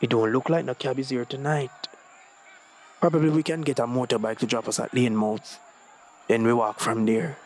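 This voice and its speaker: A man reading the part of a calm, wise older companion, gently advising and mildly teasing. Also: mid-range voice, normal speed, lightly acted. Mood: practical.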